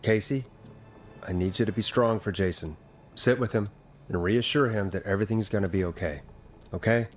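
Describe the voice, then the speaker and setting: An actor playing a steady, reassuring but urgent radio host in a horror game drama. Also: male voice, on the low side, moderate pace, pauses often, soft background music, close to an everyday voice. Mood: firm, steady. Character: calm, earnest male 1980s radio DJ in crisis.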